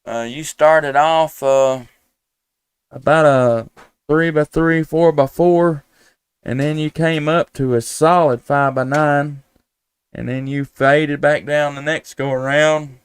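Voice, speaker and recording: Male amateur radio streamer. Very crisp, resonant voice, dry recording, folksy and unhurried in his radio chat. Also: on the high side, fairly even intonation, dry recording. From United States, Tennessee. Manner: folksy, easygoing, with radio call-sign phrasing. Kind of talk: casual ham radio chat on a live stream.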